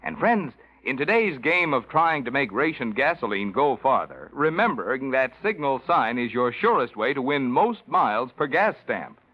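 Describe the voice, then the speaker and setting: A man's voice: medium pitch, very animated, moderate pace, hardly any pauses. A man announcing a sponsor message in polished, folksy 1940s radio advertising style, with emphatic delivery. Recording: dull vintage radio recording.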